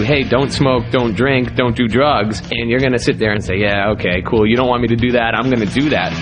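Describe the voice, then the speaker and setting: A man talks casually to an interviewer in a mid-range voice. He tells it like a story, playing out what others would say, with music behind him. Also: mid-range voice. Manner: storytelling, acts out quoted remarks. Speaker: documentary interviewee.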